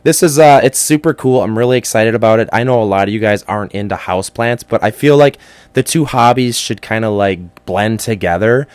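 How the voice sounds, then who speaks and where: A hoarse man with a cold hosts a casual solo podcast, chatty and excitable. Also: on the low side, a little fast, no echo. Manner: chatty and excitable, with frequent fillers. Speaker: podcast host.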